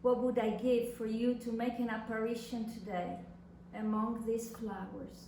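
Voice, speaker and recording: A woman's voice: a little high, flat delivery, a little slow, mellow. A woman reading love letters as a languid, ardent male poet, lyrical and dreamy. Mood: languid longing. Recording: echoey room from far off, faint noise.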